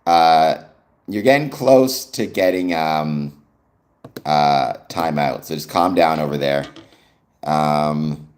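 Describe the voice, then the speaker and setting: A man's voice: a bass voice, takes it slowly, darkish tone. A young Canadian man with a Canadian accent hosts his live stream in easygoing chat, answering viewers' comments with frequent ums. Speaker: livestream host.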